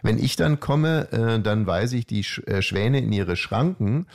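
A middle-aged man co-hosting a podcast, telling anecdotes in casual, halting banter with a wry, mock-grandiose touch. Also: fairly low pitch, lively intonation, creaky. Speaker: podcast co-host.

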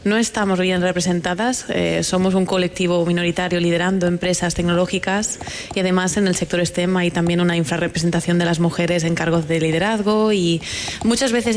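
A woman's voice: lowish voice, slight background noise. Spanish woman explaining plainly and informally. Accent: Spanish from Spain. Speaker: scientist entrepreneur, award-winning guest. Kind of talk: conversational interview explanation.

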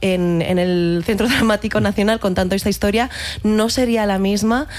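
A woman's voice: mid-range voice, steady tone, normal speed, darkish tone. A woman in her thirties with a mixed Catalan and Madrid accent in Spanish, answering interview questions in a reflective, informal way. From Barcelona, Spain. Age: 30s. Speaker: actress, interview guest.

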